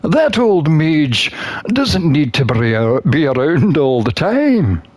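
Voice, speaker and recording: A gamer plays a sarcastic male elf in a fantasy game, teasing and flirtatious. The delivery drawls and swoops, dipping low on the come-ons. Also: sing-song, slow, dry recording. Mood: teasing, flirty. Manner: teasing, drawling, passive-aggressive.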